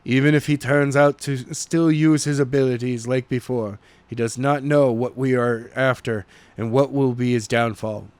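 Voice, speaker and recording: A man's voice: medium pitch, steady tone, normal speed, darkish tone, smooth, dry recording, close to an everyday voice. Villain leader, ominous and commanding, mid-range, dry recording, acted by a male narrator.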